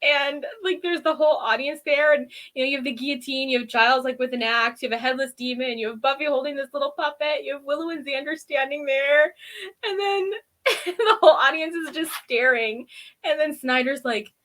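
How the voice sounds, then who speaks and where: Bright, high-voiced, giggly woman chatting. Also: a little fast. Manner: giggly, animated, acts out imagined reactions. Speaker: co-host of a TV review show. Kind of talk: casual chatty episode recap.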